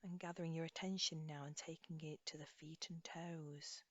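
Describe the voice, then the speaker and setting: Low-voiced woman guiding a meditation. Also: steady tone. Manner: soft, soothing, unhurried, with breathy trailing phrase ends. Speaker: meditation guide. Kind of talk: guided body scan meditation, instructional. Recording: slightly dull, echoey room recording.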